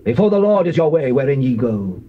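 An actor playing an earnest young Levite priest in a dramatized Bible, with declamatory archaic diction. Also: male voice, mid-range voice, big pitch swings, fairly quick, dull audio, close to an everyday voice. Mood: solemn. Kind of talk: dramatized King James Bible acting. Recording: hissy old cassette dramatization.

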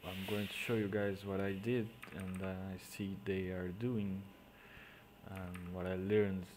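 A man with a Portuguese accent, a native Portuguese speaker, explaining digital painting step by step in halting English, often trailing off into quiet mutters as he works. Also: low voice, little pitch movement, noisy background. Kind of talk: screen-recorded painting tutorial.

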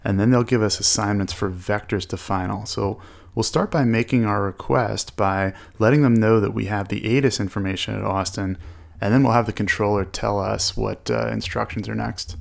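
A man's voice: lowish voice, fairly even intonation, moderate pace, gravelly. A man narrating an explanatory flight tutorial as an instructor, walking through each step. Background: small-plane cockpit drone from a flight simulator.

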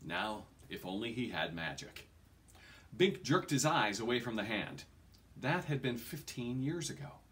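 Animated man reading a story aloud. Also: medium pitch, unhurried. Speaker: reader. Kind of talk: expressive audiobook-style reading of a fantasy novel. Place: small home room, indoors.

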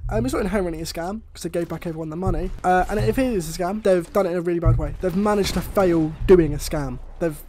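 A man's voice: high-pitched, fast talker, few pauses, darkish tone, a little hiss or hum. A young man with a cold and a slightly chesty voice, ranting and swearing with exasperation over gameplay footage. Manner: exasperated, swears often, rambling. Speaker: gaming YouTuber.

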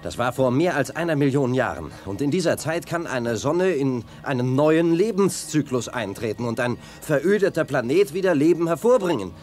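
An actor playing a resolute, earnest young space hero in a sci-fi audio drama. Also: male voice, mid-range voice, lively intonation, normal speed, few pauses, darkish tone, faint music behind, lightly acted. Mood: determined. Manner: decisive, earnest.

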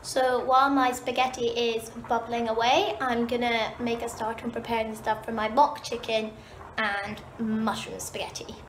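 Young woman, Scottish accent, fairly high voice, chatty cooking vlog, roomy kitchen echo. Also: level tone, moderate pace. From Scotland. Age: young adult. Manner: chatty, upbeat, talks straight to camera. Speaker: student vlogger. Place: small kitchen, indoors. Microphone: camera mic, handheld and propped up. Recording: echoey kitchen with faint background noise.

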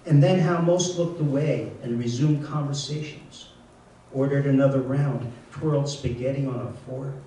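An older man reading his poems aloud in a measured, wry way, with casual asides between them. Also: mid-range voice, warm tone, a little hiss or hum. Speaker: poet reading his work. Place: lecture room at a reading series. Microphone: handheld mic on a stand, close. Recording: echoey room, mic at a distance.

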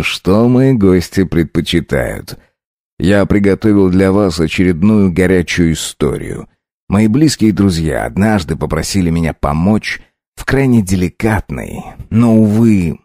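A man voicing a suave club host in an audiobook, confiding and courteous in an unhurried way. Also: sits low, a little mellow, no room sound, studio quality, full character voice. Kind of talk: audiobook character narration.

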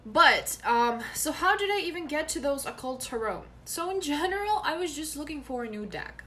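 A woman's voice: high voice, expressive, moderate pace, bright, forceful tone, a little hiss or hum. Chatty young woman, Ukrainian native speaker. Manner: rambling, many fillers and restarts. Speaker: vlogger. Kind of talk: casual chat to camera. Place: small bedroom indoors. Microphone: phone camera close up.

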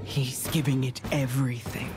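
An actress playing a wry, brooding female storyteller narrating a video game cutscene in a theatrical, dramatic way. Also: a bass voice. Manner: slow, dramatic, hushed in places, with deadpan asides. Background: music.

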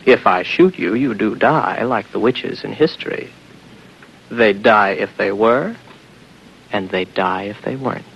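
Brief: a lonely, wry spaceman unsure what is real; low, gravelly, musing, dull vintage radio sound. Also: unhurried, a bit bright, slight background noise. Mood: wry, guarded. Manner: musing, talking to himself. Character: weary, wry isolated spaceman doubting his own senses. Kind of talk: 1950s radio drama acting. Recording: dull old radio recording with music.

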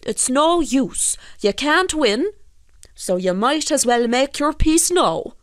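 A woman's voice: sits high, sing-song, takes it slowly, dry recording, clean studio sound, voice pushed up, heavily acted. A woman voicing a hot-tempered peasant fisherman in a storybook tale, with folksy, emphatic, exclamatory speech. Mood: commanding. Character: hot-tempered Irish peasant fisherman, grown man, blustering and wheedling. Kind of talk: storybook character voice.

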